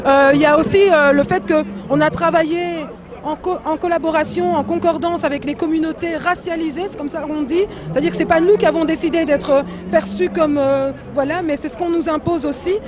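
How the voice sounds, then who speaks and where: A woman's voice: sits high, steady tone, brisk. A woman organizer explaining the aims of a protest, with hesitant fillers. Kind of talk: interview answer. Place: outdoors at a rally. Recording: music and crowd behind.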